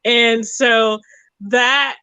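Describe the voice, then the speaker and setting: A woman's voice: on the high side, fairly even intonation, drawn-out words, sharp tone, clean tone, no room sound. A woman talking conversationally and explaining things emphatically through anecdotes and analogies.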